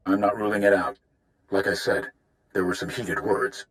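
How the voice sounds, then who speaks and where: An actor playing a seasoned, watchful warrior advisor in a video game, speaking in a measured, grave way. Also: male voice, deep voice, natural voice. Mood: grim, resolute.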